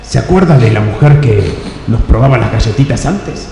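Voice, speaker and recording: A man plays a plain-spoken factory hand addressing his boss in a comic radio play. His voice is mid-pitched with a creaky edge. The space is heavily echoing. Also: lively intonation, moderate pace, warm tone, a little hiss or hum, lightly acted. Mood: urgent. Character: matter-of-fact factory worker reporting to his boss. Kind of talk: comedic radio play acting. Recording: very echoey hall, distant sounding.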